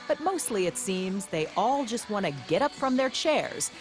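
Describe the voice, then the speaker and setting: A woman correspondent narrating a scripted television news feature in a lively, expressive way. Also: mid-range voice, normal speed. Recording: music bed underneath.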